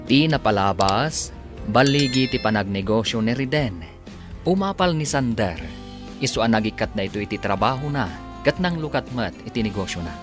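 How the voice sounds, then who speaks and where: A man's voice: mid-range voice, animated, normal speed. A man narrating and announcing an Ilocano radio drama in a dramatic scripted style. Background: music under the voice.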